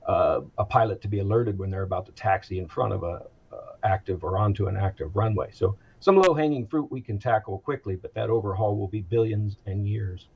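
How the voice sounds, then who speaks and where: American male expert guest, husky and measured, on slightly muffled audio. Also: fairly low pitch. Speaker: aviation executive, interview guest. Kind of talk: explanatory interview answers.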